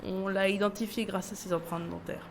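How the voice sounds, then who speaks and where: A woman playing a matter-of-fact police colleague briefing on a case in a French audio drama, brisk and businesslike. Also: mid-range voice, level tone, gravelly, reverberant, a little hiss or hum, close to an everyday voice.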